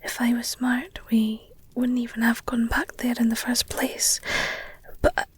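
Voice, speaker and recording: A woman playing a protective, guilt-ridden werewolf girlfriend in an intimate audio roleplay, speaking in a hushed, halting, soothing, breathy whisper. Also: on the high side, flat delivery. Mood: guarded, explaining.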